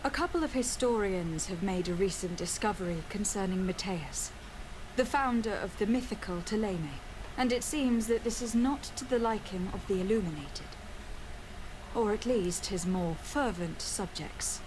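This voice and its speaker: A composed young noblewoman from a fantasy game, voiced by an actress, speaks with formal courtesy. Her medium-pitched voice is diplomatic and measured, set against clearly audible background noise. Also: expressive, natural voice. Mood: courteous, earnest. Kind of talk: scripted video game dialogue.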